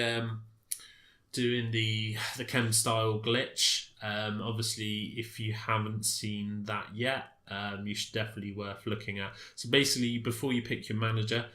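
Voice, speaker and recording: A man's voice: on the low side, bright, forceful tone, echoey room. A man with a stuffy, congested voice from a cold, casually commenting on his game with many fillers and restarts. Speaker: gaming commentator.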